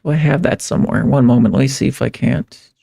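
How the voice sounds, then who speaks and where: A man livestreaming in a casual, rambling way, halting and repeating phrases as he thinks aloud. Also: medium pitch, steady tone, vocal fry, dark tone. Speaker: live streamer. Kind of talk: casual livestream chat, reading viewer comments.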